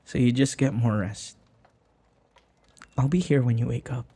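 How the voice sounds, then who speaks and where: A man acting in an intimate audio roleplay as a devoted young human servant, speaking in a soft, halting, tender and pleading way. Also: mid-range voice, husky. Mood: tender, shy.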